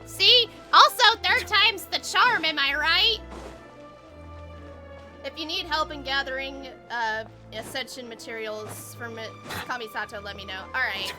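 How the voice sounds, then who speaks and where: A woman chatting casually and a bit scatteredly to viewers as she livestreams a game, excitable in manner. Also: high-pitched, a little slow, over music. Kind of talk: casual livestream chat while gaming.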